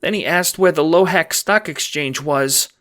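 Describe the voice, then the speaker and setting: A man's voice: a little high, normal speed, fairly bright tone, pitched above the performer's own voice, natural voice. A man voicing a frightened little male crocodile bank clerk who stammers and pleads. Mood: frightened, meek.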